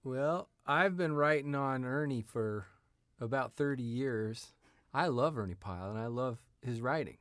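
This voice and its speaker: A man in his fifties telling stories casually as an interview guest, in a halting, meandering way. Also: mid-range voice, expressive, fairly bright tone, no room sound, clean studio sound. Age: 50s. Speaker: writer, actor, interview guest. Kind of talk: casual conversational storytelling. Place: radio studio.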